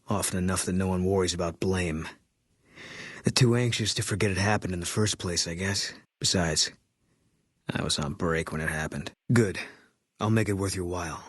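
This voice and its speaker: An actor voicing a grim, bitter former army sniper in a video game, guarded and grieving, with terse, flat, brooding delivery. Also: male voice, low-pitched, slightly rough, no echo, clean studio sound.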